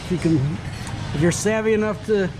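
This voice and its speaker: A man's voice: high-pitched, brisk. An older, retired man hosting a casual interview, friendly and joking, saying "right" and "okay" often. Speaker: host, interviewer. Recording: outdoor street noise.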